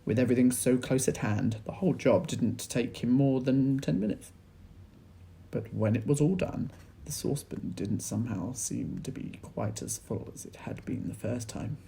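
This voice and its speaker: A man with an English accent reading a children's story aloud like a teacher, in animated narration. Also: mid-range voice, moderate pace, gravelly, slight background noise. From England.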